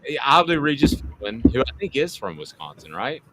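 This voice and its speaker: A man's voice: mid-range voice, very animated, brisk, sharp tone, a little hiss or hum, rough recording. A man hosting a sports talk radio show, hyping segments in a hammy, announcer-style way.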